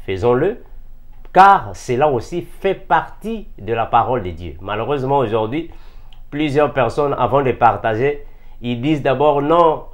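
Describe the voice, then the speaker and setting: A man's voice: medium pitch, animated, moderate pace. A man from Africa preaches to fellow believers, emphatic and repetitive, asking rhetorical questions. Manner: emphatic, rhetorical questions, repeats key phrases. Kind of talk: impassioned sermon addressed to fellow believers.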